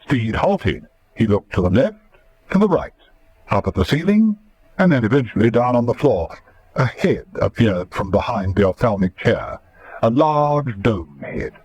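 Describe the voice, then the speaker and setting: A man's voice: mid-range voice, very animated, a little slow, darkish tone, slightly rough, no room sound, highs cut off. A man reading dramatic scripted narration as the narrator of a radio serial.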